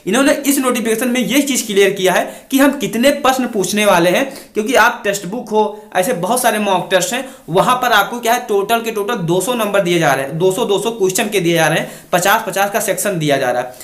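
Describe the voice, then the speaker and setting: A man's voice: high voice, fairly quick, hardly any pauses, fairly bright tone, reverberant. A young man giving an informal, instructive explainer to students, repeating key points. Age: young adult. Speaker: exam-news YouTuber and coach. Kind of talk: informal explainer addressed to exam aspirants.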